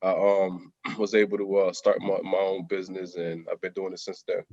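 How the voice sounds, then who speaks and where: A middle-aged man from Jamaica, a contractor guest telling his life story candidly in an interview, soft-spoken with frequent ums and throat clearing. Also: sits low, flat delivery, normal speed, no echo, lo-fi sound.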